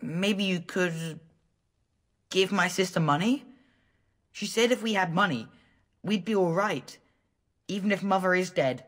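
A woman's voice: lowish voice, a little slow, long pauses. A young boy from a fantasy game village. He is frightened but trying to be brave. He speaks hesitantly, with earnest politeness. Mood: hesitant, hopeful. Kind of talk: video game character dialogue.

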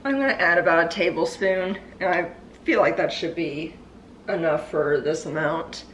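A woman in her thirties chatting casually about what she's cooking in her home kitchen, relaxed and self-deprecating. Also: on the low side, normal speed, soft background music, poor audio. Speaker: home vlogger. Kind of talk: casual voice-over chat while cooking.

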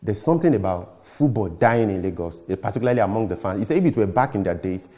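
A man's voice: fairly low pitch, expressive, fast talker, dark tone. A young Nigerian man hosting a football discussion in a small TV studio, asking probing, challenging questions into a lapel mic. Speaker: sports show host. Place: small TV studio with glass-block wall.

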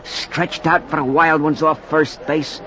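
An actor playing a folksy, colloquial baseball storyteller in a 1940s radio drama. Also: male voice, a little high, steady tone, a little slow, pushed and bright. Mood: reflective. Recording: noisy, muffled 1940s radio recording.

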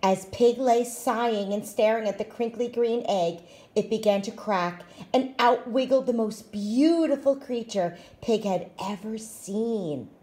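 A woman reading a children's picture book aloud in an expressive, deliberate way. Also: a little high. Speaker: storytime reader, school staff.

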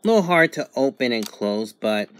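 A man giving a casual unboxing and review narration in a halting, methodical way, in short phrases. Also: mid-range voice, sing-song, a little hiss or hum. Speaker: product reviewer.

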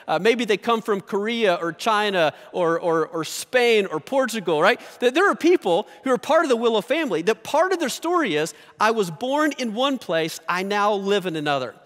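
A man in his thirties, an American pastor, preaching on a large church stage with a headset mic, gesturing freely as he talks. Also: high-pitched. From United States. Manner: animated, gesturing with both hands. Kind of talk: sermon. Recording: long hall reverb.

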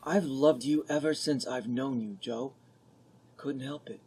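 A man playing an ardent, boyish young gentleman, earnest and pleading, in an old-time radio drama. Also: medium pitch, measured pace, husky.

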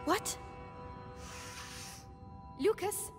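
A young woman acting a determined, protective teenage big sister in a dramatic video game, earnest and emotional. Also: drawn-out words. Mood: panicked. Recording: game soundtrack music behind.

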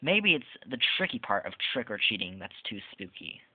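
A man's voice: on the low side, very animated, fairly quick, husky, no room sound. Man reading as a playful storybook girl, tinny audio. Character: playful, fearless little girl greeting her spooky Halloween friends. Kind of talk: storybook read-aloud.

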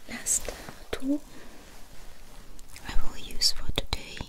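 A young woman doing a soft-spoken, whispered ASMR doctor roleplay in short, gentle, reassuring phrases, close to the microphone. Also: takes it slowly. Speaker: ASMR performer playing a doctor. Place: small dim indoor room.

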